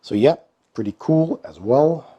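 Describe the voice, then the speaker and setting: Hoarse man with a slow, dark voice. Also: expressive. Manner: unhurried, low-key describing with many pauses. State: hoarse from a bad cold. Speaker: board game channel host. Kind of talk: casual unboxing commentary.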